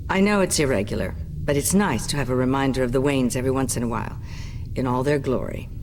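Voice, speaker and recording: A woman's voice: extremely low pitch, fast, darkish tone, slight background noise. A composed middle-aged chairwoman from a video game. She speaks formally and firmly, with some reproach under the politeness. Faint ambience runs behind her voice. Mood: measured, firm. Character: poised middle-aged company chairwoman, conflicted but firm. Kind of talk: dramatic video game acting.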